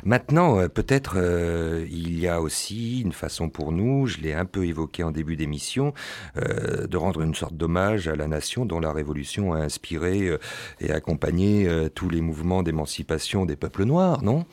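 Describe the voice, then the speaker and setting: Very deep-voiced radio host. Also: male voice, lively intonation, moderate pace, a little mellow, no echo. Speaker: radio talk show host. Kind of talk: radio talk show hosting.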